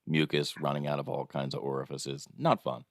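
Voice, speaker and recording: A man's voice: a bass voice, expressive, a little fast, no echo. A man producing a podcast, congested from allergies and losing his voice, teasing his co-host in casual, dry banter. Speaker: executive producer.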